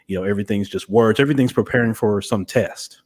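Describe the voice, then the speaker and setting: A man with a fairly low voice hosts a podcast interview. He talks conversationally and feels his way through long, winding sentences in a measured, hesitant manner. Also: darkish tone.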